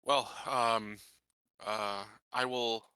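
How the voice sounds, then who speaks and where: A man's voice: mid-range voice, steady tone, extremely slow, pushed and bright, clean tone, dry recording. A man roleplaying a cautious, deferential incoming colony sheriff in a tabletop game, speaking politely and hesitantly.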